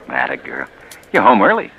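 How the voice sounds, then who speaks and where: A deep-voiced, doting husband whose sharp, bright tone swings wildly in pitch. He chuckles and coos his lines in an old radio-drama style, with faint music playing behind him. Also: a little hiss or hum, full character voice, rough recording. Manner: swooping, affectionate delivery with chuckles. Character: doting husband with an unsettling, laughing edge. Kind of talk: 1940s radio drama acting.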